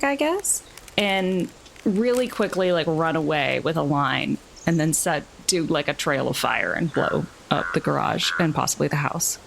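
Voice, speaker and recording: A woman's voice: fairly low pitch, creaky, slight background noise, poor audio. A woman playing a tabletop role-playing game, chatting casually as she describes her character's moves.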